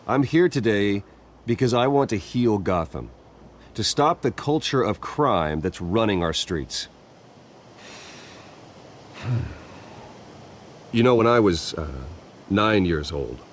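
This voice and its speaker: A composed, brooding rich man speaks in a video game drama. His low voice is deliberate yet moves expressively in pitch, with faint ambience behind it. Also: animated, slight background noise. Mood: solemn, resolute. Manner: measured, deliberate. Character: composed, brooding wealthy male heir and philanthropist. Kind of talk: dramatic game dialogue, public address.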